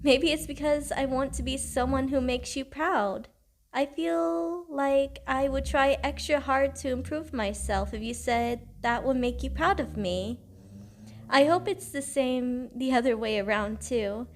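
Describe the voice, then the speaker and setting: A high, girlish voice from a woman acting as a devoted girlfriend in an audio roleplay. She speaks slowly and tenderly, with gentle, earnest affection and a self-conscious sweetness aimed straight at the listener. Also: a little hiss or hum. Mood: dreamy, encouraging.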